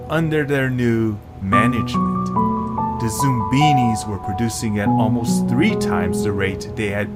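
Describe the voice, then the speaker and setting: A man reading scripted story narration as the narrator of an audio drama. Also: lowish voice. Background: loud plucked guitar music.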